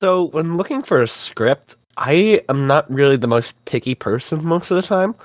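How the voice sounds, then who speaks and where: A man's voice: fairly high pitch, expressive, moderate pace, no room sound, studio quality. A man answering as a guest in a casual online panel, easygoing and brief.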